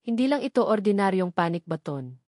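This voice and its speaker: A man's voice: high voice, big pitch swings, fairly quick, darkish tone, no echo, studio quality. Woman voicing a loyal, formal male security agent. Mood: sincere, respectful. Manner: formal, polite, sincere.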